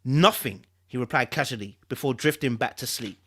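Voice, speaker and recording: A woman's voice: very deep voice, a little fast, fairly bright tone, husky. A woman reading a story aloud in a theatrical, suspenseful and informal storytelling style. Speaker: host reading a novel aloud to followers. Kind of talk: dramatic storytelling read-aloud with chatty asides and vocal sound effects.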